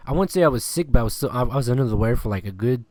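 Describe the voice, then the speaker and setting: A man's voice: mid-range voice, lively intonation, very fast, a little mellow, no room sound. Stuffy-nosed man hosting a podcast. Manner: rambling, laughs often, filler-heavy. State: stuffy nose, lingering cough. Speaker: podcast host. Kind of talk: casual sports podcast chat. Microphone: remote call recording.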